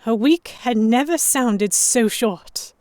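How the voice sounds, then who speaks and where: A woman playing an anxious young noblewoman captive, narrating her own story in a measured, introspective way for a historical romance audio drama. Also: on the high side, darkish tone, a little hiss or hum. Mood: uneasy.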